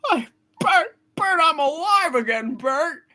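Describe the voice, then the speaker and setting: Radio play husband and father, played by a woman: desperate, pleading, higher-pitched, highly animated delivery. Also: slow, heavily acted. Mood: giddy elation. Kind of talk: hammed-up live radio play reading.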